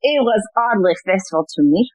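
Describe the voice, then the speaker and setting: A woman's voice: medium pitch, expressive, moderate pace, dry recording, lightly acted, poor audio. A woman playing a testy, unsentimental widowed French housekeeper in a farcical audio play, dry and indignant.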